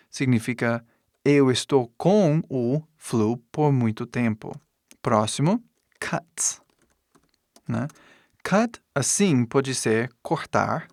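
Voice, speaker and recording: A man with an English speaker's foreign accent, a native English speaker, teaching in a deliberate way with pauses between short phrases and example sentences. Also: mid-range voice, darkish tone, no room sound, clean studio sound. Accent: English-speaking foreigner's accent in Portuguese. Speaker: English teacher giving an online lesson. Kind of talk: instructional lesson with example sentences.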